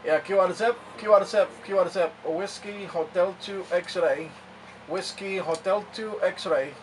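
Loud noise, distant mic, man, amateur radio operator, clipped repeated calls. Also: sits high, unhurried. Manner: clipped, repetitive call-sign phrasing. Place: indoor radio room. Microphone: camera mic away from the speaker.

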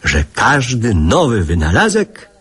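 An actor narrating childhood memories fondly in a radio drama, with a husky voice and literary, reflective manner. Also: male voice, mid-range voice, wildly swooping pitch, unhurried, fairly bright tone.

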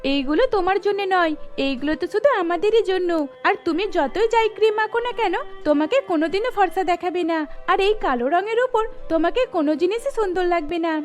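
A woman's voice: extremely high pitch, lively intonation, fairly quick. A woman voicing a spoiled, bossy young daughter in a cartoon story, pushy and taunting, with music underneath. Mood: scornful. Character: spoiled, bossy young daughter teasing her sister-in-law.

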